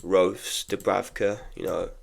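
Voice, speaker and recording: Young man, bunged up with a cold, gravelly low voice, casual football chat, blunt opinions. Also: flat delivery, slow pace. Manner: chatty, frank opinions with frequent restarts. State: congested from illness. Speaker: football podcaster. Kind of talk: casual solo football review.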